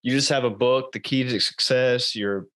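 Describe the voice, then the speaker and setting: A man's voice: mid-range voice, fairly even intonation, a bit bright, no room sound. Rambling podcast host man, dry sound. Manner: rambling, halting with many restarts. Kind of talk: casual podcast chat.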